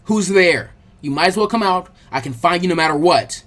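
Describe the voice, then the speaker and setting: A narrator voicing a brash, hot-headed young boy ninja in an audio story, loud, cross and defiant. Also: mid-range voice, fairly quick, natural voice. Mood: wary, challenging. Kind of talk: expressive fan fiction character reading.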